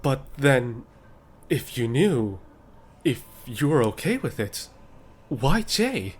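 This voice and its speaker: Man acting an earnest, expressive young adult son. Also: mid-range voice, slow pace, husky, heavily acted. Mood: mortified, confused. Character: rich man's adult son, earnest, easily embarrassed. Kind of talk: dramatic audio roleplay.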